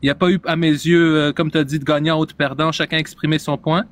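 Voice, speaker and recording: Polite man moderating, higher voice. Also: level tone, quick pace. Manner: polite, inquisitive. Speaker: debate moderator. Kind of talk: live-stream debate.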